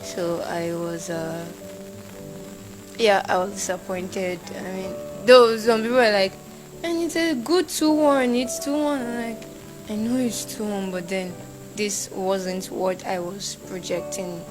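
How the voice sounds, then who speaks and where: A young Nigerian woman, a university student, talking casually in an interview and acting out shouted quotes. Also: mid-range voice, a little slow, over music, poor audio. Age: young adult. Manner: animated, acts out shouted quotes.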